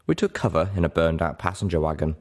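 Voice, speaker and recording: Man reading as a low-voiced, thoughtful unicorn mare. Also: fast talker, a little mellow. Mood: matter-of-fact, wary. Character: grey female unicorn, guarded, thoughtful storyteller.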